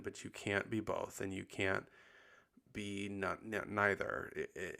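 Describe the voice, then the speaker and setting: Husky, gravelly-voiced man rambling casually. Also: on the low side, pushed and bright. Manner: rambling, full of likes and ums. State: husky and tired after bronchitis. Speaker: podcast host. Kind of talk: casual confessional chat.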